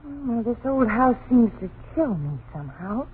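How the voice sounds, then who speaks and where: Uneasy, pensive wife, tinny old radio. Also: female voice, on the high side, sing-song, moderate pace, a little hiss or hum. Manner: pensive, apprehensive. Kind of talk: vintage radio drama acting.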